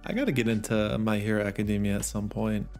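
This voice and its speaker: A man's voice: fairly low pitch, steady tone, fast, faint music behind. Chatty man with a slightly worn voice. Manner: chatty, enthusiastic, laughs softly. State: voice a little worn. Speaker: manga vlogger. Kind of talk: casual vlog voice-over.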